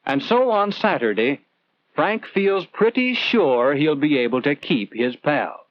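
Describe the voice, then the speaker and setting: A man's voice: fairly high pitch, animated, unhurried, dull audio, slight background noise. A man narrating a scripted 1940s instructional film in an earnest, conversational storytelling manner, putting questions to the viewer, on an old film soundtrack.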